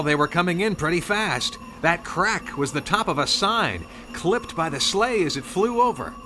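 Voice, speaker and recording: A man narrating a scripted story dramatically, with conversational asides. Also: a little high. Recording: music under the voice.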